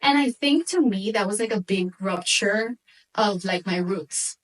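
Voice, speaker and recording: A young woman from Venezuela who moved to the United States as a child, telling stories casually as an interview guest, with animated informal talk and Spanish words dropped in. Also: medium pitch, a little slow, recorded from a distance, poor audio. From Venezuela, moved to the United States at eight. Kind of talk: casual conversational storytelling.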